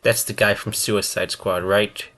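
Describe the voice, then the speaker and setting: A man giving casual, unscripted reaction commentary as a video host, in a halting, rambling way with thoughts that trail off. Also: on the low side, steady tone, fairly bright tone.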